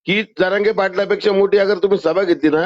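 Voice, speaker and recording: A man's voice: high-pitched, fairly even intonation, fast. A man with a Maharashtrian accent on a phone call, arguing insistently and cutting in. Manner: insistent, interrupting. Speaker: caller. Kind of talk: argumentative phone conversation. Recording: muffled lo-fi phone call recording.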